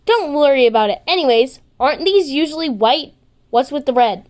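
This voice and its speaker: Story podcast role: woman as grumpy tough girl, high voice, brash and sarcastic-edged. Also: animated, brisk, darkish tone. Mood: suspicious. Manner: blunt, scoffing, easily flustered.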